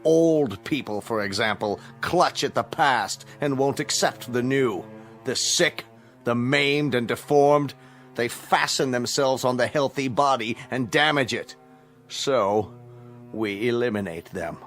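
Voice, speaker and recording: Casting: male actor for cruel, smug totalitarian chancellor, radio play; formal, menacing courtesy, average male pitch, light music underneath. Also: measured pace, a bit bright, close to an everyday voice. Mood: smug, doctrinaire. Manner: formal, condescending, mockingly polite, menacing. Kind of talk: radio drama acting.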